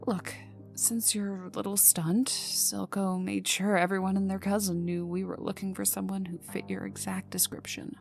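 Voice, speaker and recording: Woman acting cocky young villainess, creaky and intimate. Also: medium pitch, flat delivery, moderate pace, hardly any pauses, natural voice. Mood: teasing reassurance. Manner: teasing, smirking, casual drawl lapsing into whispers. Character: young female villain enforcer, cocky, teasing, gruffly protective. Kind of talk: intimate ASMR audio roleplay to the listener. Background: ambient music.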